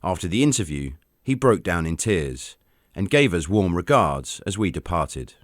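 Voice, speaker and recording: A man narrating a travel documentary in an earnest, measured storytelling manner, recorded as a voice-over. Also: low voice, big pitch swings, no echo, clean studio sound. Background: faint background music.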